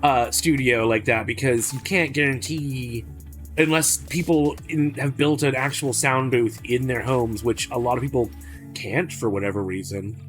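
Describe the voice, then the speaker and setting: A man's voice: mid-range voice, faint music behind. A man from Arkansas with a Midwestern American accent and a slight Southern twang, co-hosting a casual podcast chat and readily chiming in to agree. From Arkansas, USA. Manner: agreeable, quick to chime in with "yep". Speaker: podcast co-host.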